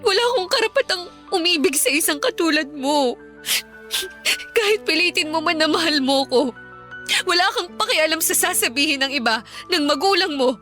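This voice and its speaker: An actress playing a timid, tearful young housemaid in a Filipino radio drama, pleading and deferential. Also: female voice, very high-pitched, animated, normal speed, bright, forceful tone, soft background music, full character voice. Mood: tearful, afraid.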